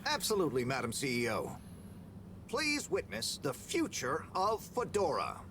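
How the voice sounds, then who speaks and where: An actor playing a smarmy, flattering corporate showman in a game. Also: on the high side, moderate pace, a little hiss or hum. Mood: grandiose. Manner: grandiose, flattering.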